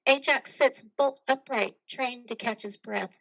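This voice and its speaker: A woman's voice: on the high side, measured pace, vocal fry, fairly bright tone, rough recording. A woman narrating a play, reading out stage directions as scripted dramatic narration.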